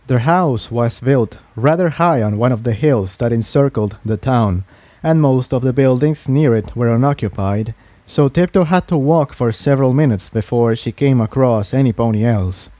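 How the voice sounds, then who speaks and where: A man's voice: medium pitch, moderate pace, dark tone, dry recording. A man reading a fantasy adventure story aloud as its narrator. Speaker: fan fiction narrator.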